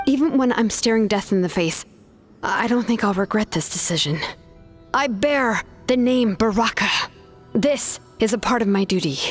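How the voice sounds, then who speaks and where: A woman's voice: medium pitch, lively intonation, moderate pace, faint music behind, close to an everyday voice. A woman voicing an earnest, resolute young craftsman in a video game, sincere, polite and ready for self-sacrifice.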